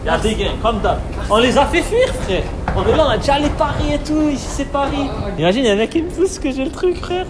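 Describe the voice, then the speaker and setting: A young man vlogging on the street, excitable and laughing often as he talks to his viewers. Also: very high voice, fast, hardly any pauses, background noise. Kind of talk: street vlog, excited banter. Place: city streets at night, outdoors. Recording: street crowd and music behind.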